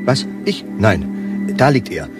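An actor playing an excitable, self-absorbed male bar patron in a satirical radio play, exclamatory and fussy. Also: lowish voice, slow pace, loud music behind the voice. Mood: flustered.

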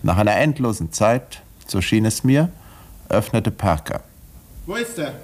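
An actor playing a village doctor who narrates a murder case in a precise, dramatic 1950s German radio play manner. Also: male voice, fairly low pitch, sing-song, unhurried. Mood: agitated. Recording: vintage 1950s radio recording, roomy dialogue scenes.

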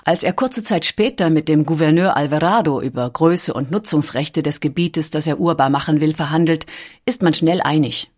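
A woman reading a scripted documentary story with measured, deliberate phrasing. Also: deep voice, a little mellow. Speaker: audiobook narrator.

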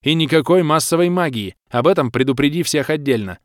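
A man voicing a fierce woman clan leader in a fantasy audiobook, barking crisp battle orders. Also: on the high side, lively intonation, brisk, no echo, studio quality, pitched above the performer's own voice, lightly acted. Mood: firm, commanding.

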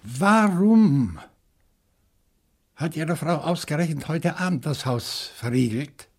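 Old bookish bachelor in a radio crime play, slow careful talker, bit husky. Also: male voice, on the high side, animated, a little mellow, full character voice. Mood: probing, careful. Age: older. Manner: deliberate, thoughtful, with hesitant pauses. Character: bookish, lonely old French bachelor, probing and cautious.

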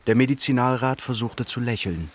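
A man narrating a literary radio drama in a measured, solemn and precise manner. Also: medium pitch, a little hiss or hum.

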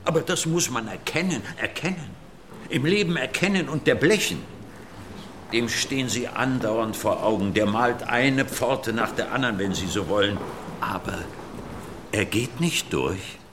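A man's voice: mid-range voice, lively intonation, measured pace, background noise, lightly acted. An actor playing an earnest, wistful art historian in a radio drama, speaking hesitantly and repeating his words. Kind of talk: conversational radio drama acting.

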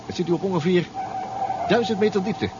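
An actor in a 1970s Dutch radio drama playing a practical, cautious submarine inventor. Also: male voice, high-pitched, fairly quick, natural voice. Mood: tense, observing. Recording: muffled, noisy 1970s radio recording.

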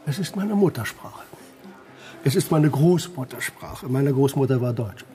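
An actor playing an urbane, witty professor in a radio drama, with a dry, ironic manner. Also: male voice, mid-range voice, lively intonation, a little slow, warm tone, natural voice. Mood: dry, amused. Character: urbane, dryly witty American professor, male. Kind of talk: radio crime drama acting. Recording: faint music behind.

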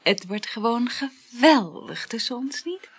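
An actress playing an impulsive, talkative, lovestruck woman in a naturalistic radio drama, effusive and eager, with a breathy voice. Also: a little high, lively intonation, unhurried, exaggerated voice. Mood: lovestruck, needy. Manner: effusive, full of exclamations.

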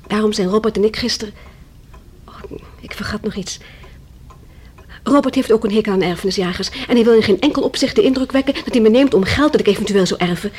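An actress playing an earnest, polite young heiress in a radio play. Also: female voice, mid-range voice, a little mellow, a little hiss or hum, natural voice. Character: Rosa, earnest young engaged heiress niece.

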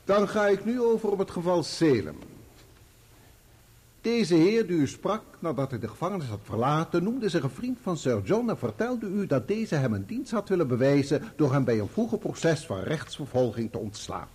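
A man acting in a radio play as a sharp, insistent barrister, formal, pointed and sardonic as he questions a witness. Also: a little high, very animated, normal speed, slightly rough. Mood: probing, formal. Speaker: actor playing a lawyer.

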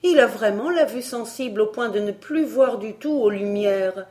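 A woman's voice: a little high, lively intonation, moderate pace, darkish tone, pitched above the performer's own voice, natural voice. A woman voicing a curious, sensible young girl in a storybook, with an earnest, reasoning manner.

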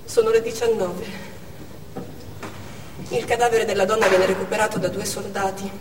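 A woman's voice: medium pitch, steady tone, normal speed, noisy background, natural voice. An actress on stage playing an anxious, protective mother and ambulance doctor, in dramatic present-tense monologue. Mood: subdued. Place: theatre stage. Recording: echoey theatre from far off, music behind.

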